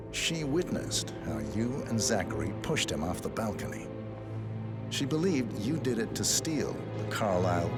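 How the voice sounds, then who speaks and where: Video game detective, low voice, cool, methodical, terse, loud music behind. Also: male voice. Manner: measured, terse, matter-of-fact. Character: male assassin posing as a cool, methodical private detective. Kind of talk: scripted video game dialogue.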